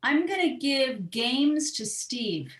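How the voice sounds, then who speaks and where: A woman's voice: high-pitched, very animated, takes it slowly, a bit bright. A woman playing as a game show contestant, bantering casually in an echoey room.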